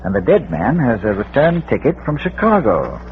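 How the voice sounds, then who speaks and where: Casting: dry-witted gentleman sleuth, erudite and precise, mid-pitched, very tinny old radio recording. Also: wildly swooping pitch, moderate pace, a little hiss or hum. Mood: conspiratorial. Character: bearded, urbane, dryly witty male amateur detective. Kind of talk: 1940s radio mystery acting.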